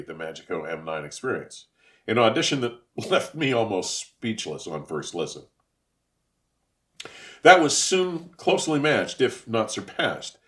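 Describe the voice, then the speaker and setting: Low-voiced man, dramatically animated reviewer. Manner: emphatic, florid, deliberate enunciation. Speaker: audio equipment reviewer. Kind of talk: scripted review narration.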